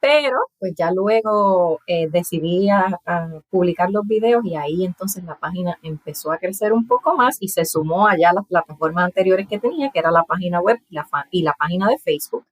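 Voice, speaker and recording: A woman in her thirties from Puerto Rico with a Puerto Rican accent and soft aspirated s sounds, explaining in a casual interview and laughing often. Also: fairly low pitch, expressive, fairly quick, few pauses, husky, no room sound. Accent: Puerto Rican Spanish, aspirated s. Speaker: guest, language content creator.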